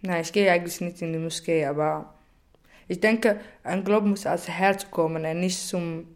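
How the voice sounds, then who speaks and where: A woman with a slightly low voice talks spontaneously in non-native German with a foreign accent. She is plain-spoken and sometimes searches for a word. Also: steady tone, moderate pace. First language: not German. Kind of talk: spontaneous spoken opinion and retelling.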